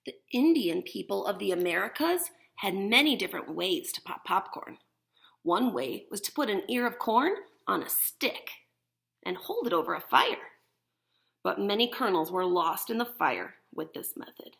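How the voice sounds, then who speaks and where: Lady teacher doing storytime for little kids, really animated, gravelly creak in her voice. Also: medium pitch, normal speed. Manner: expressive, explaining simply to young children. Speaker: teacher reading a story to children. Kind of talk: animated picture-book read-aloud with demonstration asides.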